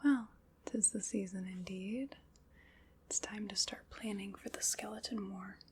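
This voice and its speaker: A woman playing an ancient undead woman who commands a skeleton army, in a soft, dry, teasing whisper for an ASMR roleplay. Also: medium pitch, steady tone, measured pace, slight background noise, close to an everyday voice.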